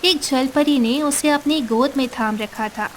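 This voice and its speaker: A woman's voice: high voice, measured pace, a little hiss or hum. A woman reading scripted narration for a cartoon story, as a storyteller.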